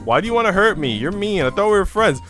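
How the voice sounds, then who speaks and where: A pouty, trusting little girl played by a man, whining in a childlike way. The voice sits higher, with music playing underneath, in the manner of a visual novel read aloud. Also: big pitch swings, fast, a bit bright. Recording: background music.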